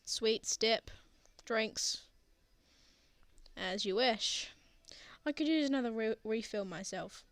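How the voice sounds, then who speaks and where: High-voiced teasing young college friend played by a woman. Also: no echo. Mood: playful, teasing. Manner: playful teasing with bashful hesitations. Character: young college student, teasing, bashful, secretly tender friend. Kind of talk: intimate ASMR roleplay audio drama.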